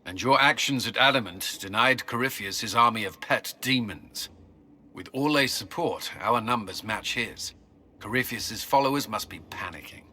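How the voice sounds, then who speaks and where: An actor playing a firm, confident military commander in a video game, giving brisk, authoritative reports. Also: male voice, mid-range voice, pushed and bright. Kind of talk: video game dialogue acting.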